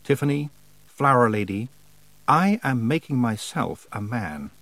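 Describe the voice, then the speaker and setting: A man voicing a godlike, lovestruck winter spirit in a storybook, speaking slowly and deliberately. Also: mid-range voice, very animated, a bit bright, dry recording. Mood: solemn, yearning. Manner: slow, deliberate. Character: godlike male winter spirit, slow and besotted.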